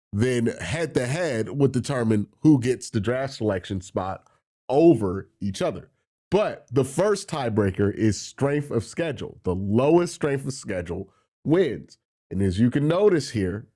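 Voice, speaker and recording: A man with a cold, sounding nasal and breathy, hosting a sports talk show and patiently explaining draft tiebreakers. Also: medium pitch, warm tone. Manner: explanatory, walks through tiebreaker rules step by step. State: congested from a cold. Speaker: sports channel host. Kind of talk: solo sports talk to camera.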